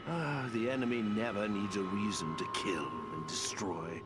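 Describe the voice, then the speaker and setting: An actor playing a kindly, eccentric old woodland wizard in a fantasy game, chatty and expressive. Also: male voice, lowish voice, moderate pace, heavy background noise, natural voice. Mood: wry, reassuring. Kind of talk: fantasy game character acting. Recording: loud music under dialogue.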